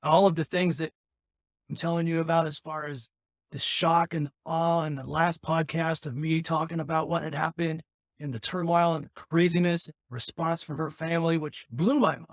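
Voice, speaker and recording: Raspy-voiced man telling his own story. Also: on the high side, fairly even intonation, normal speed, dry recording. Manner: rambling, earnest, restarts often. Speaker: podcast host. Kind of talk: candid personal talk.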